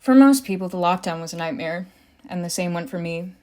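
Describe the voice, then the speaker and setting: A woman's voice: on the low side, animated, fairly quick, a little mellow, faint music behind, natural voice. A woman playing a pessimistic, world-weary private detective in an audio drama, narrating and speaking in a dry, wry manner. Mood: weary, reflective.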